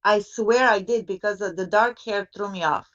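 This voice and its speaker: A woman's voice: medium pitch, normal speed, fairly bright tone. A woman chatting bluntly and conversationally as a panelist, heard over a lo-fi online call. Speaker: discussion panelist. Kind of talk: casual opinionated chat.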